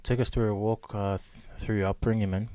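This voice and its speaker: A man hosts a relaxed interview, easing into his questions with slang and a drawn-out delivery. His voice is fairly low, and the recording sounds dry and close, with no room echo. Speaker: podcast host. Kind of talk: casual interview.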